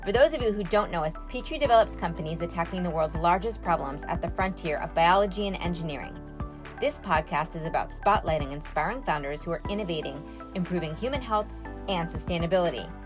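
A woman's voice: on the low side, expressive, fast talker. Woman hosting an interview podcast, faint music. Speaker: podcast host. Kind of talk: scripted podcast introduction and interview.

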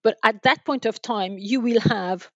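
A woman explaining things as an expert guest in a podcast interview, haltingly, often repeating and rephrasing her phrases. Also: a little high, dry recording, muffled recording. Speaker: guest, enterprise sales manager.

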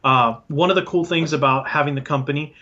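Bright-voiced man, halting and hesitant. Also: on the high side. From Puerto Rico. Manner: hesitant, many fillers and restarts. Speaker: guest, IT business owner. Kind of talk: casual interview answers. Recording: dull lo-fi sound.

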